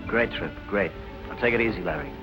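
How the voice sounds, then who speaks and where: An actor playing a wary, dry-witted nightclub boss in a 1940s film, with a clipped, sardonic manner. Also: male voice, on the low side, a little fast, lightly acted, rough recording. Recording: vintage 1940s film soundtrack, faint music.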